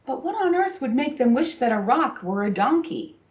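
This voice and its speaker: A woman reads a children's picture book aloud expressively, with deliberate, dramatic phrasing and pauses for emphasis. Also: high-pitched, sing-song, darkish tone, lo-fi sound. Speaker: storybook reader. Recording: roomy echo, distant microphone.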